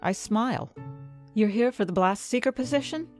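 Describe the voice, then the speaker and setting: A woman's voice: fairly high pitch, normal speed, lightly acted. A woman playing an ambitious, indignant fantasy inventor, narrating her own story expressively and articulately in an audio drama. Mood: probing. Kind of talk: first-person dramatic audio fiction narration. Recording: music bed behind the voice.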